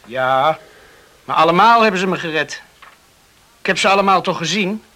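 Earnest young islander, storm survivor: high, forceful bright voice, halting and emotional radio-play delivery. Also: male voice, lively intonation. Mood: grateful, warm. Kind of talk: radio drama acting.

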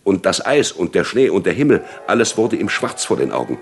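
Deep, animated male literary reader. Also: brisk. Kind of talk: dramatic literary narration.

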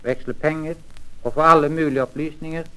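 A man reads as a language course instructor. His delivery is formal and measured, every word carefully enunciated. It comes from a vintage 78rpm teaching record. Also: a little high, steady tone, pushed and bright, clean tone, low-quality recording. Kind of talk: formal scripted lesson reading, old-time language record.

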